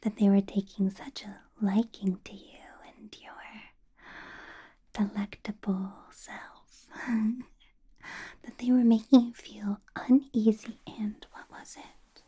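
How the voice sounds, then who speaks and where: A woman voicing a possessive ancient female vampire boss in a whispered ASMR roleplay, soft and doting with a quiet menace, close to the microphone. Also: medium pitch, little pitch movement, slow, husky. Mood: sweetly menacing.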